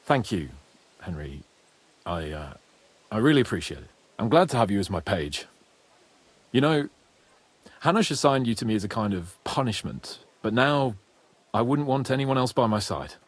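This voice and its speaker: An actor playing a proud, hot-headed young nobleman in a medieval video game, haughty and expressive. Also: lowish voice, moderate pace, pauses often, slightly rough, no room sound. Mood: sincere. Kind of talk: video game cutscene acting.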